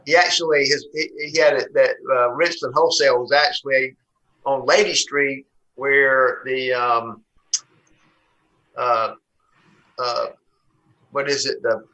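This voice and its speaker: Halting man with a bright, forceful voice. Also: mid-range voice, fairly even intonation, rough recording. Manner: halting, searching for words. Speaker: board chairman. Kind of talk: chairing a public meeting.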